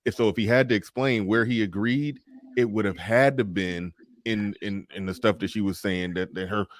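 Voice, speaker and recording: A man's voice: lowish voice, steady tone, moderate pace. A man of forty hosting a casual livestream discussion, reading viewer super chats aloud and restarting his sentences often. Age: 40s. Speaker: livestream host.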